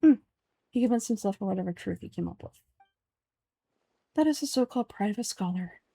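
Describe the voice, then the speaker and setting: A woman voicing a composed, erudite and aloof scholar from a fantasy game, speaking in a formal, measured, deadpan way. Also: mid-range voice, vocal fry, slightly rough. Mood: dry, disdainful.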